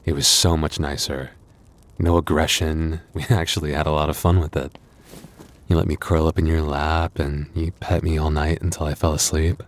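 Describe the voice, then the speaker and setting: A man playing a gentle, anxious young werewolf boyfriend in an intimate audio roleplay, speaking softly and haltingly. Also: extremely low pitch, flat delivery. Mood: playful, bashful. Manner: intimate, hesitant, soft-hearted, halting.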